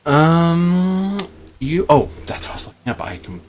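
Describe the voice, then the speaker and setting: A man narrating a tabletop game as game master, in a halting, deliberate way with pauses. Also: a little high, sing-song, a little hiss or hum.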